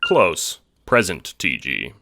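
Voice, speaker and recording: A man playing a laid-back teenage boy time traveler in an audio drama, speaking in an offhand, slangy deadpan. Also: deep voice, takes it slowly, creaky, heavy background noise, full character voice. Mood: deadpan, casual. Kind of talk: audio drama character dialogue.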